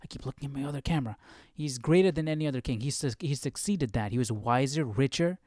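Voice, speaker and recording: A man's voice: mid-range voice, fast talker, darkish tone, no echo, clean studio sound. A man hosting a livestream study, reading and commenting aloud in a casual, conversational way, often checking in with the listener. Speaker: host of a livestream Bible study.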